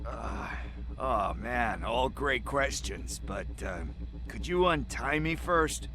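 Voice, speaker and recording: An actor playing a nervous, wisecracking male informant in a video game, stammering and bargaining in a husky voice. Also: medium pitch, lively intonation, slow pace.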